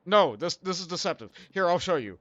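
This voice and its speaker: A man's voice: high voice, expressive, a little fast, a bit bright, highs cut off. A young man hosting a casual gaming stream, rambling and laughing often as he plays. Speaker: streamer host.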